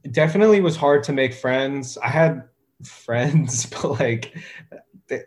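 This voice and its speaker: A man in his thirties, a guest talking casually in an interview, with a relaxed, hesitant way of talking full of fillers and restarts. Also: mid-range voice, a little slow, a little hiss or hum. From United States. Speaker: guest, music producer.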